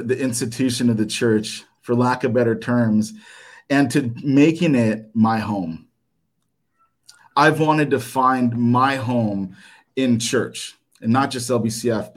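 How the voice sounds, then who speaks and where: A man in his thirties, whose first language was Japanese, gives a personal testimony to his church. He speaks in a halting, earnest way, with frequent "um"s and restarts. His nerves show in his delivery, and his voice sits at an ordinary middle pitch for a man. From United States. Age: 30s. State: nervous. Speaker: church member giving a talk.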